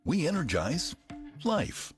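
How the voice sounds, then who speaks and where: A man's voice: fairly high pitch, huge pitch swings, slow. A man with an American accent narrating a scripted corporate advertisement. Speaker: commercial voice-over narrator. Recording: music bed under voice.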